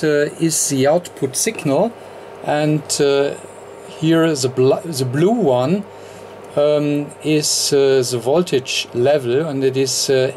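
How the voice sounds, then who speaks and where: A man hosting an unscripted technical demonstration at an indoor workbench, speaking haltingly with frequent hesitations mid-phrase. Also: on the high side, a little mellow, slight background noise. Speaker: electronics tutorial host.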